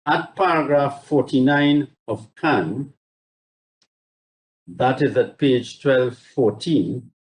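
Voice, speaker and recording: A man speaking as a barrister in a formal, measured and courteous legal submission, reading carefully from judgments over a video link. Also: medium pitch, poor audio. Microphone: video call.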